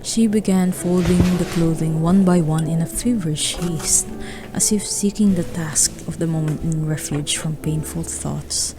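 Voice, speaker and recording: A woman narrating a radio drama, reading scripted literary prose in a measured way. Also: sits low, over music, low-quality recording.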